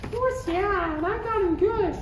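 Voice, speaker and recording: A woman's voice: very high voice, normal speed. A woman playing an eager, boastful young boy bounty hunter in a parody table read. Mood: excited. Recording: echoey room, distant mic, clear noise.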